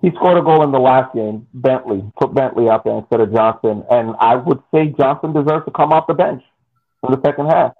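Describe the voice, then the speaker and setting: A man's voice: mid-range voice, a little fast. A man co-hosting a casual sports podcast, talking emphatically and repeating himself. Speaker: podcast co-host. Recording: tinny phone-like line.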